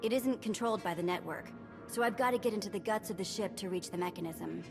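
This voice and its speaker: A woman's voice: mid-range voice, quick pace, close to an everyday voice. Woman playing a brash, slangy female thief. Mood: blunt. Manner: slangy, dry, no-nonsense. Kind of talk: cinematic video game voice acting. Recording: music behind.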